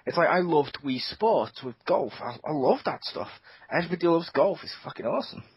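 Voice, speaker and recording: A man chats on a gaming podcast with a slightly husky voice. His pitch swings up and down as he tells rambling, profane stories. The audio is dull, dry and lo-fi. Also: expressive, a little fast, no echo. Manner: swearing, rambling storyteller. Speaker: podcast co-host. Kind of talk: casual chat.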